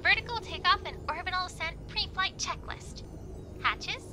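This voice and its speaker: A woman's voice: very high voice, animated, moderate pace, background noise. A woman voicing an eager, studious young female pony co-pilot in an audio drama, speaking precisely. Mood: focused, precise. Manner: precise checklist reading.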